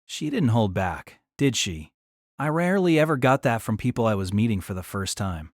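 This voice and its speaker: A man's voice: lowish voice, very animated, moderate pace, no echo, studio quality. An actor playing a wry, exasperated young man, delivering wordy first-person story narration and dialogue in a deliberating, self-conscious way. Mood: wry, apologetic.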